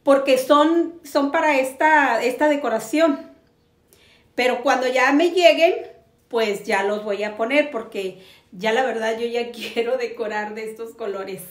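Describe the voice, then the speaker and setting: High-voiced woman, chatty vlogger. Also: measured pace. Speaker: host of a home decor channel. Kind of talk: casual product review to camera. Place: living room on a sofa, indoors. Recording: roomy echo.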